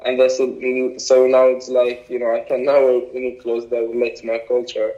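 A young man with an Arabic accent, a native Arabic speaker, answering interview questions in a halting way with many fillers. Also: medium pitch, completely flat delivery, dark tone. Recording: dull lo-fi recording.

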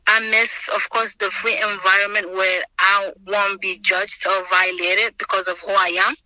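Bright-voiced woman in her twenties. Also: medium pitch, little pitch movement, normal speed, no room sound, poor audio. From Senegal. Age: 20s. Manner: earnest, thoughtful, with frequent ums and restarts. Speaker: interviewee, student. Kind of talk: oral history interview. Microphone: remote video call.

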